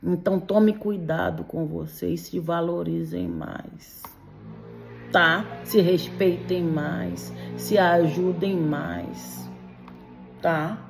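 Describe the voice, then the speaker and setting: Slow-talking, low-pitched middle-aged woman. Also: steady tone, gravelly, faint music behind. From Belgium. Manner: emphatic, admonishing, with frequent tag questions. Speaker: vlogger giving warnings to Brazilian migrants. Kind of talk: direct-to-audience opinion monologue.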